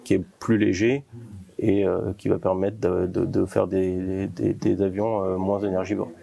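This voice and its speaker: Male company founder interviewed, mellow and fairly monotone, explanatory and halting. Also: low-pitched, vocal fry.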